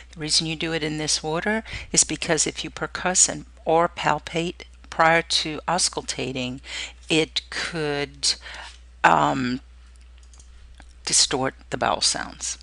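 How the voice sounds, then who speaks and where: A woman's voice: low voice, gravelly, bright, forceful tone, dry recording. A woman giving an instructional clinical lecture, explaining step by step and often checking in with "okay". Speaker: nursing or clinical skills instructor.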